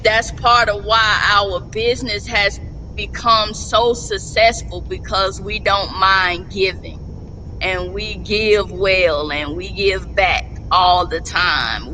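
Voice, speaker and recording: A middle-aged woman speaks over a webcam, slow and unhurried, with a bright, forward tone. She talks plainly and spells out names letter by letter. There is a faint hum behind her. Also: medium pitch, steady tone, low-quality recording. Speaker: business owner guest. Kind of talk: casual interview. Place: indoors at home, seated. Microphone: video call webcam.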